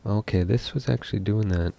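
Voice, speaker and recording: A young man from Seattle, a game developer talking casually while coding live, thinking aloud with many pauses. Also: lowish voice, creaky, warm tone. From Seattle, USA.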